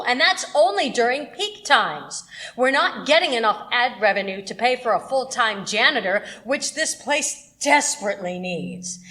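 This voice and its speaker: A woman playing a bitter, overworked radio station manager in a radio play, domineering and sharp-tongued. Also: high-pitched, heavily acted. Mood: exasperated.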